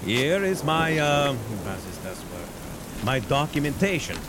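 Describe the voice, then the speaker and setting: Tired older Swiss harbor pilot, Swiss German accent, halting English, rain and thunder behind. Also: male voice, fairly high pitch, sing-song, noisy background. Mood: weary. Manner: hesitant, searching for English words. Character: weary Swiss harbor pilot near retirement. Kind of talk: radio drama acting.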